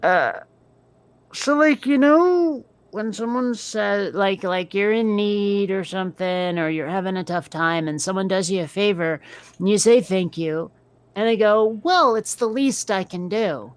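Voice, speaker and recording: A woman from Vermont with a faint New England accent talks in easy, rambling conversation. She fills her sentences with "like" and little asides as she works through a thought, her voice at a middle pitch. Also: unhurried, dry recording. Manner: chatty, many "like"s and asides. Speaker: podcast co-host. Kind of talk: casual chat.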